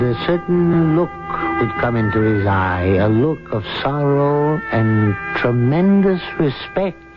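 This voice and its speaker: A calm, watchful man telling a tale, played by an actor in an old radio play. His voice is mid-pitched and very unhurried, deliberate and philosophical. The recording is dull, with music behind. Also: natural voice. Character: unruffled, observant male witness telling the tale. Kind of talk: radio drama narration and dialogue.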